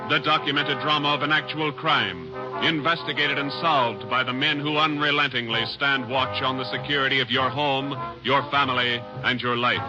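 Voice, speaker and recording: A man's voice: medium pitch, steady tone, normal speed, highs cut off, natural voice. A man as a declamatory network announcer. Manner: formal, declamatory. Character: formal male network announcer. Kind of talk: 1950s radio show announcing. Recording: old radio recording with music behind.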